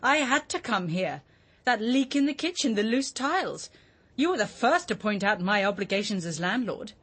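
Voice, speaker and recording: A woman's voice: mid-range voice, lively intonation, moderate pace, a bit bright, breathy edge. An actress playing a hard, unyielding British landlady in a radio drama, speaking in a clipped, formal, disapproving way. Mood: cold, scornful.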